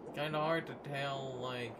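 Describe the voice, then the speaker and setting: A man in casual co-commentary on a video game, explaining in a halting way with game music behind him. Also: medium pitch, steady tone, fairly bright tone, a little hiss or hum. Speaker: let's play co-commentator. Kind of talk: casual gaming chat.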